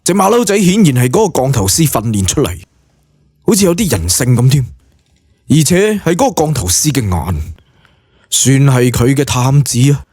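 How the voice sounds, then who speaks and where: A man's voice: mid-range voice, big pitch swings, moderate pace, a little mellow, husky, no room sound, studio quality. A man with a Cantonese accent narrating an audiobook story in a dramatic, colloquial storytelling style.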